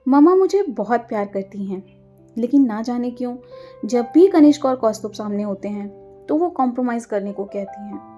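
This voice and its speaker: A woman's voice: a little high, animated, moderate pace, dark tone, faint music behind, lightly acted. A woman voicing a sensitive, introverted young girl in an audio story, with an earnest, emotional way of talking. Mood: wistful, questioning. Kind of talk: acted dialogue in audio storytelling.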